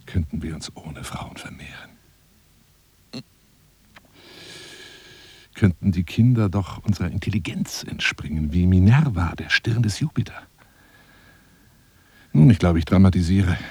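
An actor playing a lonely, self-dramatizing hunter in a radio drama monologue, wooing a woman in a courtly, theatrical, husky voice that drifts into whispers. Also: deep voice, big pitch swings, normal speed, mellow, dry recording, full character voice. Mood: musing, melancholy. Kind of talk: radio drama monologue as one side of a phone call.

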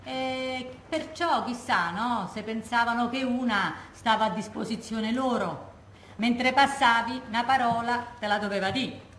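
A proud, short-tempered Roman widow who lives alone. Her voice is fairly high and her manner blunt and defiant, with a colloquial Roman accent. It is a theatre monologue in an echoey space with slight noise. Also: a little slow, fairly bright tone. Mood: resigned, wry. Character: irascible, proud, solitary Roman war widow. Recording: echoey room, faint background noise.

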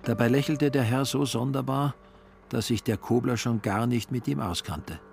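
A man's voice: medium pitch, moderate pace, creaky, darkish tone, soft background music. A man narrating a literary radio play as a scripted story.

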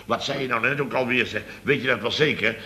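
An actor playing a blustering, indignant boss of a building firm in a Dutch radio comedy, exclaiming and grumbling. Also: male voice, mid-range voice, fast, sharp tone, close to an everyday voice. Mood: exasperated. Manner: exclamatory, grumbling, tags sentences with "hè" and "zeg".

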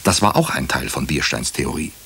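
Radio crime drama narrator: a sober doctor, extremely low voice, measured literary storytelling. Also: male voice, lively intonation, gravelly, pushed and bright, slightly rough, exaggerated voice. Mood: sober, observant.

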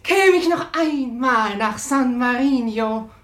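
An actress playing a spirited, mocking tavern guest in a radio play, teasing and pointed. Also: female voice, on the high side, expressive, slow, a bit bright, roomy sound. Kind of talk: German radio play acting.

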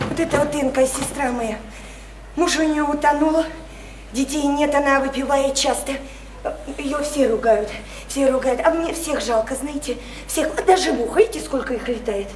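An actress playing a naive, odd young provincial woman in a radio drama, halting and childlike, repeating herself as she pours out her feelings. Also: high voice, echoey room, lightly acted, poor audio. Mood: plaintive, pitying. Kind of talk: stage-style radio drama acting.

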